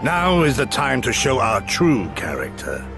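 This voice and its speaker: Gravelly, seasoned warrior mentor. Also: male voice, medium pitch, huge pitch swings, over music, exaggerated voice. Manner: grave, formal, deliberate. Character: seasoned male warrior mentor, stoic, penitent, quietly fond. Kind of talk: scripted video game drama acting.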